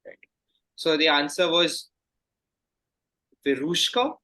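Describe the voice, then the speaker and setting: A man acts as quizmaster, reading questions aloud in a formal, courteous way. His voice sits a little high and has a very bright, crisp edge. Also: expressive, slow pace.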